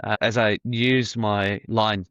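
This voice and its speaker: A man's voice: on the low side, fairly even intonation, slow, fairly bright tone, clear, resonant tone, no room sound. A man appearing as a podcast guest, giving confident advice in casual conversation. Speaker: marketing director guest. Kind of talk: casual interview chat.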